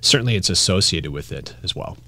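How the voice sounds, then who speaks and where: A man speaks as a doctor guest on a podcast, laid-back and quick to laugh. His voice is very deep. The recording is dry and close, with no room sound. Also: lively intonation, pushed and bright, dry recording. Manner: laid-back, laughs easily, explains plainly. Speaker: doctor, medical clinic founder. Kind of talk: casual interview chat.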